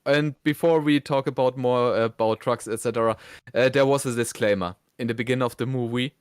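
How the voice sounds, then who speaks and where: A man's voice: mid-range voice, fairly even intonation, a little fast, clean tone, no room sound, studio quality. A man co-hosting a review show, giving his opinions in casual conversation.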